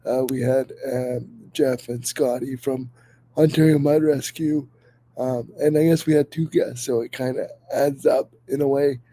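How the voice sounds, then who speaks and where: Dark-voiced man hosting, a little sick. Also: medium pitch, fairly even intonation, measured pace, no echo. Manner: earnest, enthusiastic, many fillers and restarts. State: a little sick, voice under the weather. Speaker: podcast host. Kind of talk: casual podcast talk.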